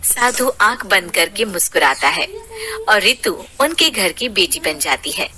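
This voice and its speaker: A woman narrating a scripted cartoon moral story in an expressive, dramatic storytelling manner. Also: mid-range voice, unhurried.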